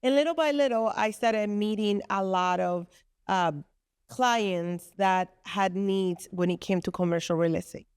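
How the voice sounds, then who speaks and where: A woman's voice: medium pitch, unhurried, a little mellow, clean tone, no room sound, clean studio sound. A woman from the Republic, a podcast guest explaining her business at length with earnest fillers. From Dominican Republic. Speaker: guest, co-founder of a business space. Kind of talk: podcast interview.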